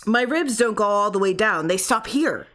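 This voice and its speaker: A woman's voice: mid-range voice, animated, moderate pace, a bit bright, lightly acted. Woman voicing an anxious, brooding husband. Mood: worried. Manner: fretful, exclamatory, questioning. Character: anxious, brooding husband obsessed with his skeleton.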